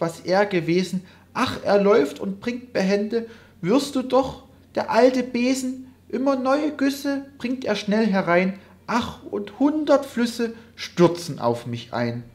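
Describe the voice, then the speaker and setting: A woman's voice: a little high, darkish tone. A woman voicing a young male sorcerer's apprentice, cocky and easily panicked, in a dramatic poetry recitation. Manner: theatrical, exclamatory.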